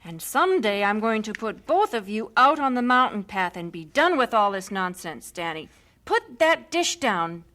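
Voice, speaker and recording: Lively, harried missionary mother. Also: mid-range voice, normal speed. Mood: exasperated. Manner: expressive, scolding then coaxing. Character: spirited missionary wife and harried young mother. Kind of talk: radio drama acting.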